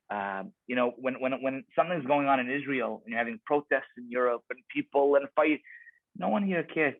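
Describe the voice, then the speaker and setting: A man's voice: mid-range voice, dry recording. A man, a rabbi, giving an earnest talk over a video call and often repeating words as he goes. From Canada. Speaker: rabbi, guest speaker. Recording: tinny video-call audio.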